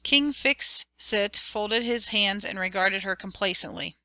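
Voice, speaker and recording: A woman narrating an audiobook with a clear, expressive reading. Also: medium pitch, measured pace, dry recording. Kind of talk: storybook narration.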